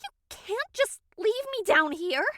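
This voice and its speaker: Captive young noblewoman female actor, audio drama. Very high, animated, frightened yet defiant, pleading. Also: unhurried, dry recording, studio quality, exaggerated voice. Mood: indignant. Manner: indignant, pleading.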